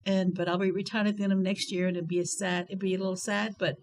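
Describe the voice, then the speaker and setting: A woman's voice: medium pitch, flat delivery, quick pace, a little mellow, a little hiss or hum. An older woman from Texas, a guest on an interview, reflecting on her working life in a rambling, self-correcting way. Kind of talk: casual interview chat.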